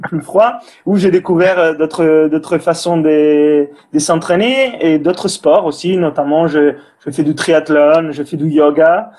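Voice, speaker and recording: Italian man speaking slightly high French. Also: steady tone, unhurried, few pauses, a little mellow. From Italy. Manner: hesitant, many fillers and restarts, non-native French grammar. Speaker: breathing and cold exposure instructor, guest. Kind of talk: casual interview talk.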